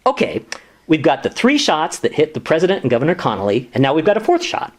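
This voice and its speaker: A man's voice: a little high, huge pitch swings, quick pace. A flustered, earnest government staffer played by a man in a radio sitcom. His voice leaps up and down as he protests and explains, exasperated and eager to make things add up. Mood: baffled, protesting. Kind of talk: sitcom radio play acting.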